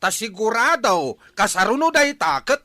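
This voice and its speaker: A man's voice: high voice, very animated, moderate pace, heavy background noise. A man playing a grumbling, thrifty husband who scolds in exasperation in a comedy audio drama. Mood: exasperated, scolding. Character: grumbling, thrifty husband dreading debt over birthday.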